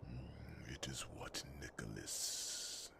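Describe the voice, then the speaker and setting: A man acts out a grave, wise fantasy forest creature for an ASMR roleplay. The voice is extremely low and full of gravelly creak, mostly held to a breathy whisper. The wording is formal and archaic, broken by murmured hums and pauses. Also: animated, full character voice. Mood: somber, measured.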